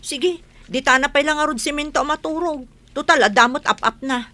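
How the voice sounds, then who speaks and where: High, bright young village daughter. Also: female voice, normal speed, no echo. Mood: concerned. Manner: questioning, emphatic. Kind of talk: Ilocano radio comedy drama acting.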